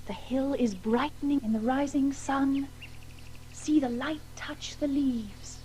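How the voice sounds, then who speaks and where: A woman's voice: sits high, fairly even intonation, slow pace, dark tone, a little hiss or hum. A woman acting an ethereal, playful muse spirit in a radio play, lyrical and insistent. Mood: dreamy, lyrical. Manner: lyrical, teasing, dreamy.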